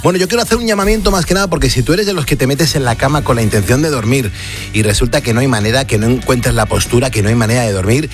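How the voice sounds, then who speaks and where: A man hosts late-night radio, rambling in a friendly, loose way with plenty of fillers and false starts. His pitch sits in an ordinary middle range, and soft music plays under him. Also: racing. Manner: chatty, hesitant fillers and restarts. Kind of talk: casual on-air chat and live ad read. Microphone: studio broadcast mic.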